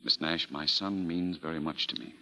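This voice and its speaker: Deep, creaky, courtly aging charmer. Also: male voice, animated, pushed and bright, faint music behind, full character voice, low-quality recording. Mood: reserved, polite. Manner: formal, polite, measured. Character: suave, courtly fifty-year-old gentleman, an aging charmer. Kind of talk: 1940s radio acting, with first-person narration. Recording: vintage 1940s radio broadcast.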